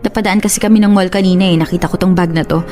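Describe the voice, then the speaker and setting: A woman's voice: on the low side, fairly even intonation, racing, darkish tone, faint music behind, lightly acted. A woman playing an aggrieved, anxious young wife in a radio drama, earnest and emotional. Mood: defensive. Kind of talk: Tagalog radio drama acting.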